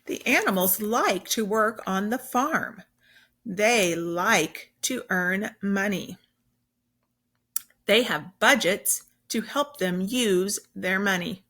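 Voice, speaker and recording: A woman's voice: medium pitch, slow, pushed and bright. A woman teacher reading a children's story aloud, explaining simply and with emphasis as she goes.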